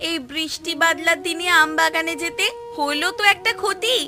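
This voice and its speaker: A woman as a very high-voiced, fretting wife. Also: moderate pace, sharp tone, full character voice. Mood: scolding. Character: fretting, scolding village wife of an injured farmer. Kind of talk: cartoon story dialogue. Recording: music playing behind the voice.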